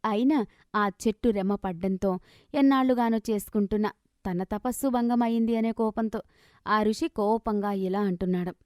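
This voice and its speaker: A woman tells a scripted moral tale as its narrator. Her voice sits at a middling pitch for a woman, and the recording is dry and close. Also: normal speed, darkish tone, no echo. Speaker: story narrator.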